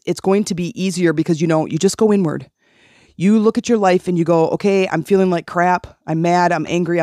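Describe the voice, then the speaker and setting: A woman's voice: deep voice, mellow, no room sound, studio quality. A woman hosting a healing podcast, talking earnestly and encouragingly, often repeating phrases to press a point. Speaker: podcast host and life coach. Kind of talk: motivational solo podcast talk.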